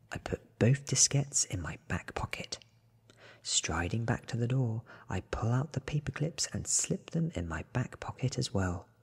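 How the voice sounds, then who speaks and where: A man in his thirties softly reading a story ASMR style in a breathy whisper, close to the microphone. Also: fairly low pitch. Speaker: ASMR book reader. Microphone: close studio microphone.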